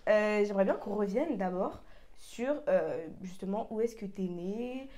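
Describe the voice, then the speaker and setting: Young woman interviewer, casual podcast host, warm-open but halting delivery. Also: mid-range voice. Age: young adult. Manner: friendly, hesitant, many fillers.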